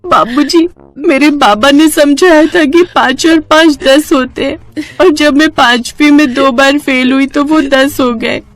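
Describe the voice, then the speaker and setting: A woman's voice: fairly high pitch, moderate pace, a little mellow, no room sound, faint music behind, lightly acted. A woman voicing a timid, naive, unschooled young wife who talks hesitantly and pleadingly in a cartoon story. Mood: tearful, pleading.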